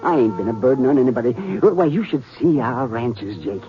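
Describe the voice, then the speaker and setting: An actor playing a miserly, crotchety old western rancher, folksy and blustering, in old-time radio drama style. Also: male voice, medium pitch, lively intonation, brisk, faint music behind, lightly acted. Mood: nervous, defensive. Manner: blustering, folksy, stammering when flustered. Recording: dull, noisy vintage radio recording.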